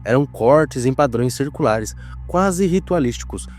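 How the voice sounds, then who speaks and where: A man with a Brazilian accent narrating a scripted horror mock documentary in a solemn, measured, dramatic manner. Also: mid-range voice, expressive, darkish tone, faint music behind.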